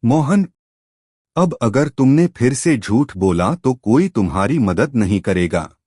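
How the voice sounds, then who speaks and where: A man's voice: mid-range voice, very animated, slow pace, a little mellow, slightly rough, no room sound, clean studio sound, exaggerated voice. A man voicing an angry adult villager in a cartoon story, who scolds a lying boy. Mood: annoyed. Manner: scolding, stern. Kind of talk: cartoon voice acting.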